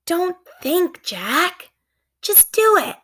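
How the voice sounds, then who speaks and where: Woman voicing an excitable, high-pitched little girl adventurer. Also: drawn-out words, full character voice. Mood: coaxing. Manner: eager and exclamatory. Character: bold, friendly, excitable young girl adventurer. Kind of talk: children's storybook character voice.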